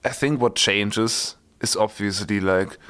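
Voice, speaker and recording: A man's voice: lowish voice, fairly even intonation, slow, fairly bright tone, clear, resonant tone. A man with a German accent chatting casually as a podcast co-host, with dry humor and frequent laughs. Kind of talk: casual chat.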